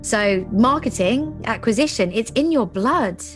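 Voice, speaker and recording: A woman playing a composed, commanding ad agency executive in an audio drama, speaking deliberately. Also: fairly high pitch, lively intonation, soft background music. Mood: knowing, grave.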